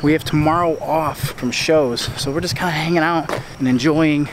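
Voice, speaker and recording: A young man with an American accent and a slightly high voice chats to a handheld camera. He is earnest and rambling, with casual bro slang. Clear street noise surrounds him outdoors. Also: steady tone, normal speed. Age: young adult. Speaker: tour videographer and vlogger. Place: walking on a town street at night. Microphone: camera at arm's length. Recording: noisy outdoor street at night.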